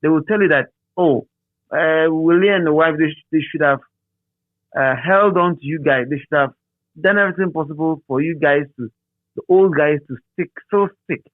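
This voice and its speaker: A man's voice: a little high, moderate pace, dry recording. A middle-aged man with a Ghanaian accent, reminiscing in a casual interview and often restating phrases for emphasis. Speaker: interviewee, radio DJ. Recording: thin, phone-like narrow-band line.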